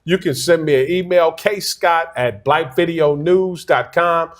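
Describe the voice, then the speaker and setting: A man's voice: on the high side, measured pace. A man in his forties hosting an interview show, encouraging his guest with short affirmations. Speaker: host.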